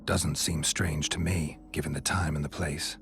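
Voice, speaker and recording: An actor playing a terse, skeptical monster hunter for hire in a video game, speaking in a gravelly, dry, laconic way. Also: male voice, steady tone, moderate pace, a bit bright, exaggerated voice. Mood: dry skepticism. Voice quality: gravelly, very deep. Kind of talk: video game cutscene dialogue.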